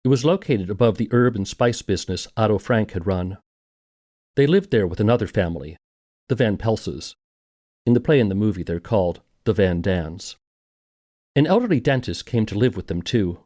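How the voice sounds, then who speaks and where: A man's voice: on the low side, animated, normal speed, warm tone, no room sound, clean studio sound. A man hosting a documentary podcast and reading scripted narration. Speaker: podcast host.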